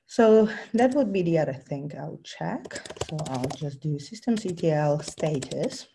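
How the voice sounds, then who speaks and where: A woman's voice: low voice, dark tone, slight background noise. A woman with a cold giving a technical presentation, explaining a live demo with frequent ums and restarts. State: has a bad cold. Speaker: presenter giving a tech talk.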